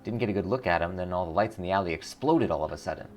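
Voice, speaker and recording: A man's voice: low-pitched, lively intonation, rapid-fire. Man voicing a curt female dwarf merchant. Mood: matter-of-fact. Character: gruff, unhelpful female dwarf street merchant. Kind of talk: video game character voice. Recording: clear background noise.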